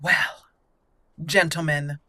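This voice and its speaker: A woman's voice: sits low, fairly even intonation, extremely slow, pushed and bright, no echo, studio quality. A woman hosting a roleplay game playthrough, giggly and theatrical, slipping between commentary and in-character speech. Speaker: gameplay channel host. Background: faint game music.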